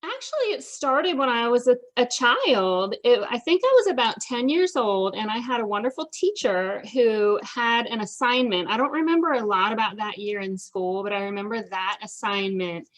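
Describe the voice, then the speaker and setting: A woman's voice: a little high, lively intonation, normal speed, hardly any pauses, poor audio. A middle-aged woman with an American accent, chatting enthusiastically as an interviewed author and telling personal stories casually. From United States.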